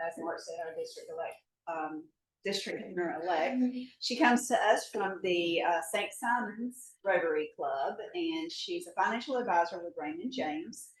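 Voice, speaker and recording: A woman introducing the guest speaker at a club meeting, chatty and hesitant with frequent ums. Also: lowish voice. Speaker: program chair introducing a speaker. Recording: distant echoey room with faint noise.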